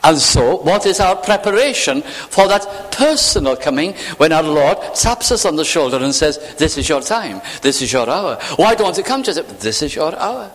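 A man preaching a sermon, acting out imagined voices and sinking to hushed, near-whispered phrases. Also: fairly high pitch, sing-song, normal speed, hardly any pauses, long reverb tail. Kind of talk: evening sermon.

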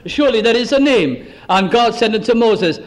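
High-voiced man teaching from scripture. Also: lively intonation, normal speed. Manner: reads old scripture then explains it in plain chatty asides. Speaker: preacher. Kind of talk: Bible teaching sermon.

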